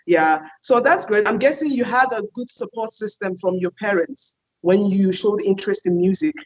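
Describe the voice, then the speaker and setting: A woman's voice: medium pitch, normal speed, rough recording. A woman hosting an online TV interview, chatty and enthusiastic, with frequent fillers. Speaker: host.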